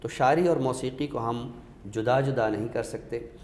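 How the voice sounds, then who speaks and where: A man with a Pakistani accent giving a deliberate, didactic explanation in a literary discussion, pausing for emphasis. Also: medium pitch, fairly even intonation, a little mellow. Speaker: literary scholar and guest speaker. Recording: echoey reverberant room with background noise.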